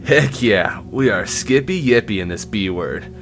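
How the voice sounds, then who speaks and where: A man's voice: lowish voice, animated, measured pace. A man voicing a folksy, oddball patient in a visual novel game, talking in an informal, rambling, slangy way. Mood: upbeat, goofy. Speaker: video game character. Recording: loud music behind the voice. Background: loud game music.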